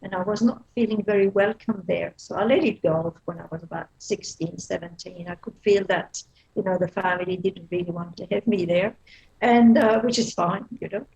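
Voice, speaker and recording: A chatty woman in her fifties with a Belgian accent, speaking as an interview guest. She tells things in a lively, animated way, with frequent laughs, fillers and restarts, at a middling pitch for a woman. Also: normal speed, gravelly, low-quality recording. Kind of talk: casual interview chat.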